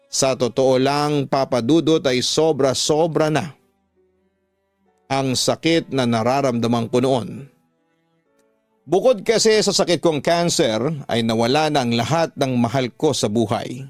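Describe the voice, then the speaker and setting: A man with a Filipino accent voicing a sorrowful widow in her fifties, reading her letter for radio in a measured, earnest way. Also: medium pitch, dry recording, lightly acted. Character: sorrowful fifty-one-year-old widow recounting her hard life.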